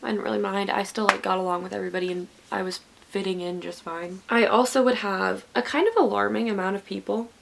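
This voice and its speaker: A woman's voice: mid-range voice, fairly even intonation, quick pace, gravelly, hardly any pauses, background noise. A woman in her twenties telling a story in a rambling, chatty way while getting ready. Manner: rambling, chatty with many likes. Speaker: vlogger.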